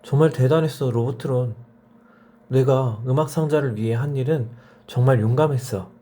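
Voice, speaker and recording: Guy doing a supportive toy leader in a kids bedtime story, soft husky voice. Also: mid-range voice, moderate pace, voice pushed up, close to an everyday voice. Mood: admiring. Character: warm, encouraging leader of living toys. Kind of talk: children's bedtime story character voice.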